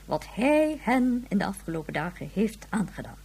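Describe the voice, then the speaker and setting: A woman's voice: mid-range voice, big pitch swings, moderate pace, a little mellow, breathy edge. A woman playing a stern, haughty head of government, formal and disapproving, in a science fiction radio play. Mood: bitter, disapproving.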